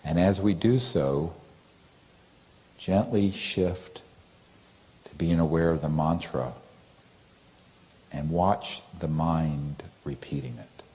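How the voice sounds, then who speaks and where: Male meditation teacher: very deep, soft-edged mellow voice, gentle and soothing instructional delivery. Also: slow pace, long pauses.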